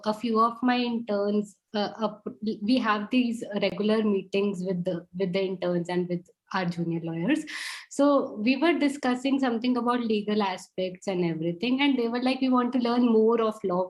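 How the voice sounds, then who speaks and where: A woman's voice: medium pitch, rough recording. A woman hosting an interview, telling personal stories with some fillers. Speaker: host. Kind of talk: interview conversation.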